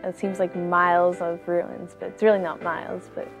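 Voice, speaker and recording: Flat-pitched American teenage girl, interviewed. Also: moderate pace, faint music behind. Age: teenager, about 15. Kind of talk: casual interview answers.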